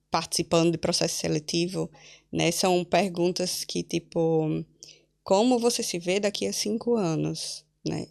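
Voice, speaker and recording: Young brazilian woman, gravelly voice, lively story telling, laughs a lot on a podcast. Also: on the low side. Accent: Brazilian Portuguese. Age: young adult. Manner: animated storytelling with laughing asides. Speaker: guest. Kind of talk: casual podcast interview.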